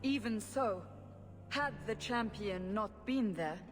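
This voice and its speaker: An actress playing a stern, earnest investigator of a holy order in a video game, speaking formally, gravely and insistently. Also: female voice, medium pitch, takes it slowly, natural voice. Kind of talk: video game dramatic dialogue. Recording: long reverb, music behind.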